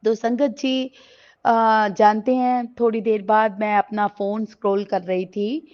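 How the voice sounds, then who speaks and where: Hoarse middle-aged woman talking slow and soft at her home shrine, lots of pauses, kinda muffled audio. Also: mid-range voice, flat delivery. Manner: slow, gentle, halting with many pauses and fillers. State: sore throat. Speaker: devotee sharing a gratitude satsang. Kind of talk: devotional testimony talk. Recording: slightly dull lo-fi recording.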